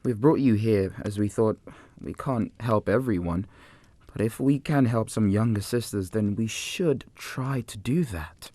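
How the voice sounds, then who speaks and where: Serious militant, low soft-edged voice, slow and grave, commanding, audio drama. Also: male voice, no room sound. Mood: solemn. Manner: measured, grave, deliberate. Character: solemn, commanding armed Sikh militant.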